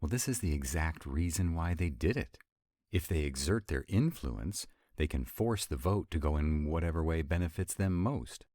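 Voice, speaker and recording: An extremely low, closely dry-recorded male vampire, acted out by a man for a roleplay audio. He is sarcastic, wry and easily irritated, with a rebellious streak. His delivery is conversational and intimate, swinging animatedly as he makes his drawling asides. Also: lively intonation, normal speed, dark tone, no room sound. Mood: musing, frustrated. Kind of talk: intimate one-sided roleplay audio acting.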